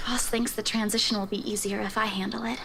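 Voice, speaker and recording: A woman playing a shaken, exhausted young office worker in a visual novel, speaking haltingly. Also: medium pitch, flat delivery, creaky, pushed and bright, slight background noise. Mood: weary, evasive. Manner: halting, hesitant delivery.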